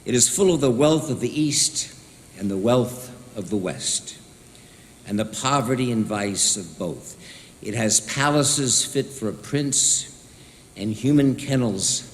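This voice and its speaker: A man reading a historical passage aloud slowly and deliberately. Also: medium pitch, expressive, slight background noise. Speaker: guest reader. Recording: reverberant hall with faint ambience.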